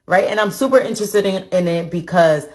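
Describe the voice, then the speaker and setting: A woman's voice: lowish voice, fairly quick, a little mellow. A woman of Dominican background talking casually to her followers, often asking them "right?". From Dominican Republic. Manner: chatty, keeps asking the audience "right?". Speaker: content creator. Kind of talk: casual social media talk.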